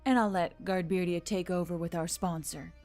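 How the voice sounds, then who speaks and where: A woman's voice: lowish voice, steady tone, normal speed, a little mellow, faint music behind. A woman reading a scripted story as a narrator. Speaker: audiobook-style narrator.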